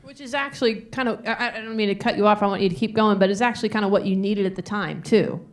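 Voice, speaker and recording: A woman's voice: medium pitch, quick pace. A woman moderating a live interview, asking informal, wordy questions and often restarting her phrasing.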